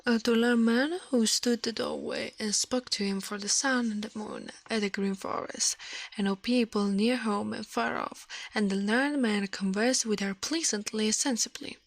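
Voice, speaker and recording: A woman's voice: fairly high pitch, moderate pace, creaky, no echo. Italian-accented woman reading a story, close and dry. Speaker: livestream host, storyteller.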